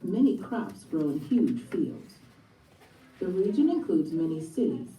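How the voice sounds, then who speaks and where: A woman reading scripted educational text aloud as a textbook narrator, in a measured and enunciated manner. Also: low-pitched, big pitch swings, highs cut off. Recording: played back in a room, distant, echoey.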